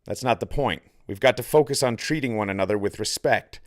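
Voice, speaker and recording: A man voicing an earnest male hike leader in an audiobook, brisk and firm as he gives instructions. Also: mid-range voice, close to an everyday voice.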